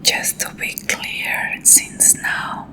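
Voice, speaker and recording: A young woman from Colombia with a Colombian Spanish accent, a native Spanish speaker, reading a story in soft, intimate ASMR whispers into a close microphone. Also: extremely slow, low-quality recording. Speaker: ASMR creator.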